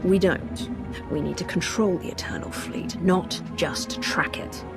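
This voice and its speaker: A woman's voice: fairly low pitch, unhurried, over loud music. A woman acting a commanding, calculating Sith leader in a video game, assertive, shrewd and controlled.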